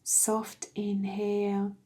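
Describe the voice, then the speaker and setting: Woman doing guided meditation, really slow n mellow, soft breathy endings, long pauses. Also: mid-range voice, completely flat delivery. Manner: soothing, gentle, unhurried with long pauses.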